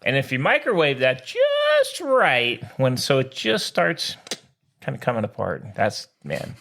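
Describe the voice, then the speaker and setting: A man's voice: medium pitch, wildly swooping pitch, a little slow, creaky, fairly bright tone. A man co-hosting a podcast in casual, joking sports chat, boastful and folksy, often repeating himself. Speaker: podcast co-host.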